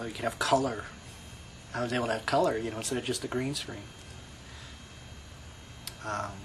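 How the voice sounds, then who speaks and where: A man's voice: fairly low pitch, background noise. A middle-aged man with a Brooklyn accent, talking in a rambling, reflective way to his video blog, full of you-knows and restarts. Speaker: video blogger. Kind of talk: casual personal monologue.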